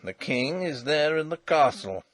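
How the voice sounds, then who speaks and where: A man voicing a blunt, guarded old colonel in a low, clipped, gruff voice. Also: expressive, unhurried, dry recording.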